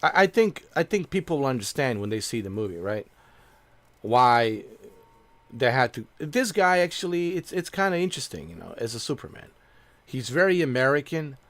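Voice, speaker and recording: A man talking casually while he streams a game, meandering from thought to thought with plenty of fillers and restarts. His voice sits at a middling pitch, and the sound is dry and close with no room echo. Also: a bit bright. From Bosnia. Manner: rambling, repeats himself, lots of fillers. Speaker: gaming streamer. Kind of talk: casual chat while playing.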